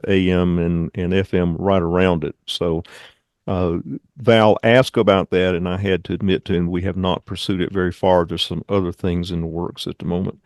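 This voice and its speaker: A man leading an online club meeting, giving informal updates in a halting, rambling, conversational way. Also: sits low, darkish tone, dry recording, studio quality. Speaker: radio club meeting leader and presenter.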